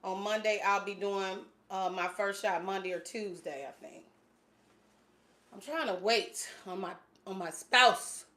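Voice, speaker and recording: A woman's voice: medium pitch, a little slow, sharp tone. A woman in her late forties chats through a casual livestream. She talks loosely, with plenty of fillers and easy laughter, and her grammar stays informal. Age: 40s. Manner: chatty, laughs often, many fillers. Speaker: fitness channel host.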